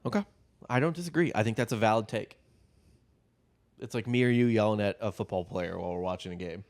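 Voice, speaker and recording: A low-voiced man co-hosting a relaxed history podcast, chatting loosely and swearing freely. He laughs easily and talks the way friends banter, with an easygoing, unscripted flow. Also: quick pace. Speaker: podcast co-host telling the story. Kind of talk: casual comedic history podcast chat.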